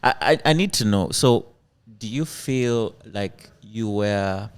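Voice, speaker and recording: A man's voice: on the low side, animated, slow, smooth. A man co-hosting a podcast, asking questions in a laid-back way and repeating words as he starts. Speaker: podcast co-host. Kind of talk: casual podcast conversation.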